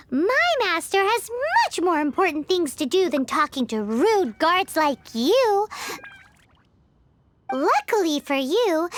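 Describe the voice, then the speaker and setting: A tiny floating fairy companion from a video game, her voice piercingly high and bouncing all over the place. She is cheeky, bossy and childish, and she drawls her lines slowly. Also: fairly bright tone, exaggerated voice. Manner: squeaky, cheeky, refers to herself in third person.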